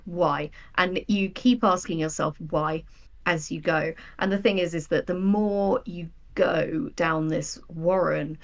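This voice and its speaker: A woman in her thirties chatting casually as an interview guest, explaining her creative work with rhetorical questions. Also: fairly low pitch. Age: 30s. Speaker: guest writer of audio drama and fiction.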